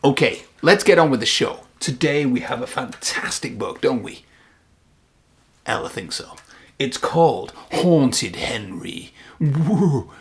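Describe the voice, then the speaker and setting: Man reading a children's storybook, lively pitch. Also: slow, slightly rough. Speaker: children's read-aloud presenter and narrator.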